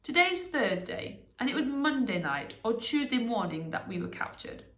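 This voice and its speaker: A woman's voice: fairly high pitch, big pitch swings, normal speed, a bit bright, roomy sound, pitched above the performer's own voice. A woman voicing a clever, proud old male wizard in a storybook, speaking in an emphatic, commanding, confident way. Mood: brisk, assured.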